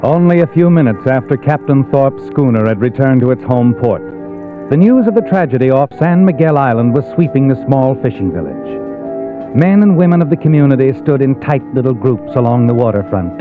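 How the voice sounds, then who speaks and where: An actor playing an ominous, insinuating storyteller, narrating a suspense drama in 1950s radio style. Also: male voice, mid-range voice, expressive, normal speed, lightly acted. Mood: grave, narrating. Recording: muffled old radio with faint music.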